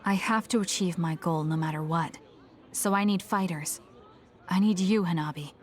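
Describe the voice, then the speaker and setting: A woman's voice: on the low side, normal speed, close to an everyday voice. An actress playing a cool, blunt, determined young female soldier in a video game, reserved and matter-of-fact. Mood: cool, firm.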